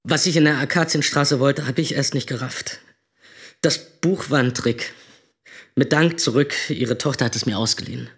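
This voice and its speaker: An actor playing a powerful politician's resentful young son, speaking in bitter slang in a radio drama monologue. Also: male voice, mid-range voice, normal speed, vocal fry, a bit bright. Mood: bitter, cornered.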